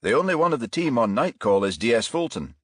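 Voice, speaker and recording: A man voicing a businesslike senior police chief inspector with a measured, official manner in an audiobook. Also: medium pitch, sing-song, slightly rough, dry recording, clean studio sound. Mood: grave, businesslike. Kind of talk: audiobook character voice.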